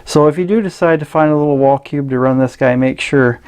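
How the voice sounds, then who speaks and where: A man presenting a casual, unscripted gadget review in a halting, rambling way. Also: on the high side, a little mellow, smooth. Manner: hesitant, halting, rambling delivery.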